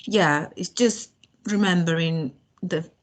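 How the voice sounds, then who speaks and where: A woman's voice: lowish voice, slow. A young woman from Spain, a language student chatting as a podcast guest, hesitant with many fillers and restarts. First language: Spanish. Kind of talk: casual podcast conversation.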